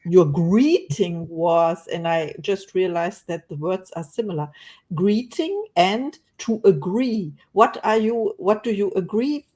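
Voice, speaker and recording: A woman's voice: medium pitch, expressive, slow, a little mellow. Enthusiastic woman host. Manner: enthusiastic, searches for words. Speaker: podcast host. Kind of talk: interview hosting.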